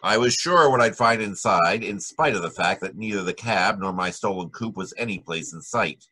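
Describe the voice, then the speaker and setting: An actor playing a wisecracking hard-boiled private detective in 1940s radio style, with a rough-edged voice. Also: male voice, on the low side, normal speed, bright, forceful tone. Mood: narrating, tense. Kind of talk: 1940s radio detective drama acting and narration.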